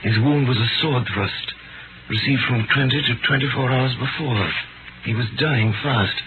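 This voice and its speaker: An actor in a 1930s radio drama playing a grave, sorrowful physician, solemn, measured and melancholy. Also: male voice, mid-range voice, natural voice. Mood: doleful, solemn. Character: grave, sorrowful imprisoned French physician recounting his ordeal. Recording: scratchy narrow 1938 radio broadcast.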